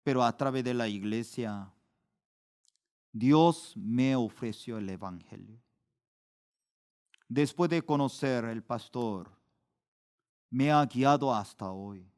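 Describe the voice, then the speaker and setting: Middle-aged male pastor, unhurried sermon delivery, clean resonant tone, bursts upward on key words. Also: a little mellow, smooth. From Korea. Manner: slow, deliberate preaching with sudden rises in pitch and volume for emphasis.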